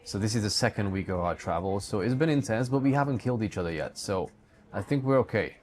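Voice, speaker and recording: A man's voice: fairly low pitch, expressive, fast, darkish tone. A man from Hungary answering questions conversationally as an interview guest, talking about his own life and work. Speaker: guest, digital entrepreneur running a book publishing company.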